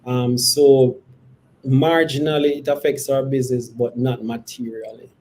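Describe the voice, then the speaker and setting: Mellow-voiced man, measured and careful. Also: medium pitch, poor audio. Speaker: company executive. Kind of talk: answering investor questions on an earnings call. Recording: steady background noise.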